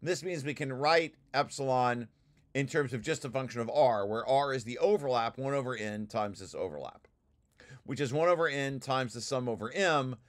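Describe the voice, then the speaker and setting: A man giving an informal technical walkthrough, explaining as he reads and often stopping to correct himself. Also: medium pitch. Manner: halting, self-correcting, explanatory. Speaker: presenter reading through own technical paper.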